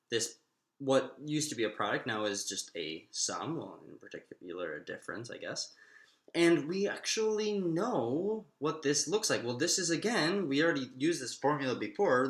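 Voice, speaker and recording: A man's voice: medium pitch, a bit bright. Man teaching maths in an echoey room. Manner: thinking aloud, halting, often restating himself. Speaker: maths lecturer. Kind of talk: informal step-by-step maths lesson.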